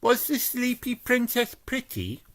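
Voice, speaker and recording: A man's voice: very high-pitched, huge pitch swings, a little slow, fairly bright tone, voice pushed up, heavily acted. A man doing a chirpy little piglet girl. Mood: eager. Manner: eager, questioning. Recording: music playing behind.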